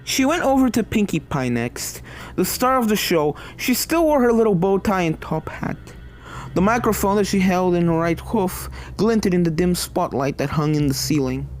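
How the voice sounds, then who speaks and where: A woman's voice: fairly low pitch, expressive, normal speed, a little mellow. Woman narrating dramatic fan fiction over music. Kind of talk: dramatic scripted story narration.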